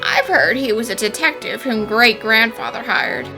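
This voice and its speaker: A woman's voice: a little high, moderate pace, music in the background. A woman voicing characters in a fan dub, pleading and flustered.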